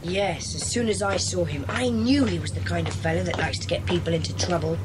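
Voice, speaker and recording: A woman's voice: on the low side, brisk, over loud music, natural voice, poor audio. A woman playing a confident, decisive schoolboy leader with a well-spoken British accent in a children's audio drama. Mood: determined.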